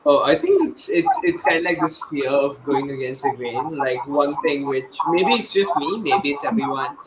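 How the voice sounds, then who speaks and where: A man's voice: on the high side, sing-song, moderate pace. A young adult man speaking casually as a panelist, thinking aloud in a conversational way. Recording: echoey, very noisy online call.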